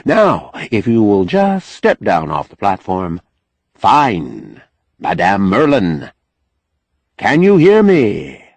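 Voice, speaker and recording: A man's voice: lowish voice, huge pitch swings, takes it slowly, vocal fry, darkish tone, no echo, exaggerated voice. A man voicing a stage magician with mildly puzzled, offhand showman's patter in an audiobook. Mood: coaxing showman. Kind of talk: audiobook character acting.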